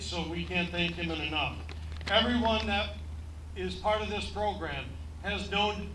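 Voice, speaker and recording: A man emceeing a ceremony, joking at his own expense and pausing often on fillers. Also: sits high, sharp tone, slight background noise. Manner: joking, self-deprecating, halting with many fillers. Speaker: event emcee. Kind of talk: ceremony speech with jokes. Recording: echoey room, voice from far off.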